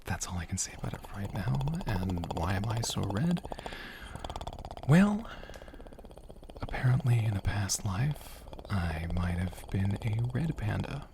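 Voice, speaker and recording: A man with a low, slightly gravelly voice speaks softly in ASMR style, often slipping into breathy whispers. His delivery is slow and gentle, rambling along informally as a channel host answering questions. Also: sing-song, noisy background. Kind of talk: soft-spoken ASMR, unscripted question-and-answer.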